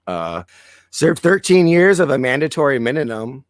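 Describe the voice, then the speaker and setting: A man co-hosting a casual political podcast who reads news items aloud, keeps clearing his throat and gives blunt opinions, and whose voice is going hoarse. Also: mid-range voice, big pitch swings, moderate pace, no room sound, studio quality. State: losing his voice. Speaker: podcast co-host.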